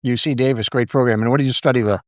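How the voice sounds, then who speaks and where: A man's voice: mid-range voice, steady tone, rapid-fire, no echo, clean studio sound. A middle-aged man, interviewing in a casual, informal way as a podcast host.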